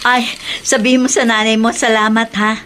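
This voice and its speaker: An actress playing a warm, motherly middle-aged aunt in a Filipino radio drama, chatty and affectionate. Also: a little high, flat delivery, moderate pace, slight background noise, lightly acted. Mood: welcoming.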